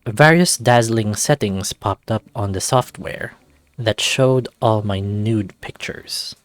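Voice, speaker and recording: A man's voice: lowish voice, slow pace, no echo. A man reading a visual novel aloud as narrator. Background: music.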